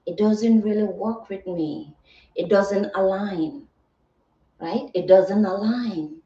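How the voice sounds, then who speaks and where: Slow, mellow woman in an echoey room. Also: medium pitch. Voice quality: soothing. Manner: earnest, reflective, rhetorical check-ins like "right?" and "okay". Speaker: livestream host and motivational content creator. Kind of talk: live motivational talk, replying to viewers. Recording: roomy echo, distant mic, slightly muffled.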